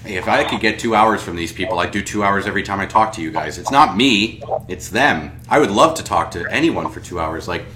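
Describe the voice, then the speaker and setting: A man's voice: deep voice, animated, brisk, few pauses, noisy background. A man hosting a podcast, talking in an enthusiastic, rambling way full of fillers. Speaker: podcast host. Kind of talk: podcast interview.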